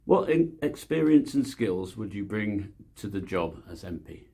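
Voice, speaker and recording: A man's voice: on the low side, very animated, a little slow, vocal fry, mellow, husky. A male interviewer asking short, plain questions.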